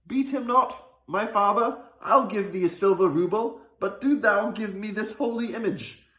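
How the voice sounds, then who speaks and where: A man's voice: high-pitched, moderate pace, sharp tone, pitched above the performer's own voice. A man voicing an earnest, kind youth of about twenty, entreating eagerly in old-fashioned speech for a folk tale. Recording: roomy, echoing sound.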